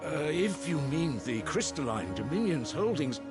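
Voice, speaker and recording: Fussy court advisor, game drama: lively swooping pitch, formal, deferential, very loud score. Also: male voice, normal speed. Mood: flustered. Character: fussy, deferential male imperial councillor. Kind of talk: dramatic video game acting. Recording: very loud dramatic music behind the voice.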